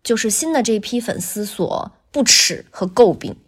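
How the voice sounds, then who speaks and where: A woman, a guest voice actor, chatting casually on a podcast and thinking aloud in halting, looping phrases. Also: mid-range voice, lively intonation, slightly rough.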